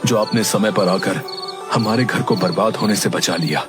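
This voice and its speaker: A man playing a worried, earnest head of a village household in a cartoon, speaking quickly and plainly. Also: fairly low pitch, music in the background, close to an everyday voice. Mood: earnest concern.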